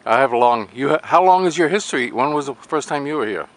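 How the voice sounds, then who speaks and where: A man's voice: mid-range voice, lively intonation, fast talker, fairly bright tone. Older male, middle register. Informal roving interviewer for a hobby event, talkative storyteller. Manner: chatty, anecdotal. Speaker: amateur radio interviewer. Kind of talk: casual on-the-spot interview. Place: outdoors at a radio swap meet.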